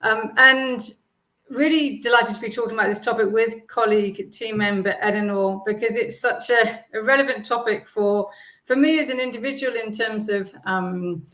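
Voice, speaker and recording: A baby-boomer woman in her sixties co-hosting a live online work talk over a video call, measured and thoughtful with frequent ums. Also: pushed and bright, echoey room, low-quality recording. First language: English. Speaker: co-CEO and host. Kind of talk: webinar conversation.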